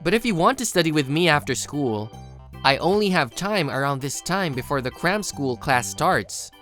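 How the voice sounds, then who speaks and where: An actor playing a studious, reserved teenage high school boy who narrates and speaks in a manga video, introspective and a little hesitant. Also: expressive, moderate pace, a bit bright, soft background music, lightly acted.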